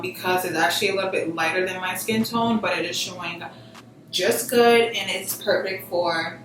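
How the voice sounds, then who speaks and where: A young American woman giving a casual step-by-step tutorial in an informal, slangy, emphatic way. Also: mid-range voice. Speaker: beauty vlogger giving a makeup tutorial. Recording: very echoey room, distant mic, music behind. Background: background music.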